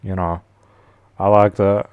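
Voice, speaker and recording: A man with a deep voice, rambling casually and slangily to his stream audience. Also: flat delivery, slow, smooth, dry recording, highs cut off. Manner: slangy, rambling, says "bro" a lot. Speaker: live streamer.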